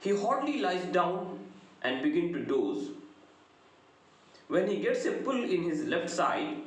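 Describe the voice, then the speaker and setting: Indian guy lecturing on literature, slow n deliberate, voice goes up and down a lot, super echoey room. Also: on the high side. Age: middle-aged. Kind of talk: lecture summarizing a play. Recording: very echoey room, faint background noise.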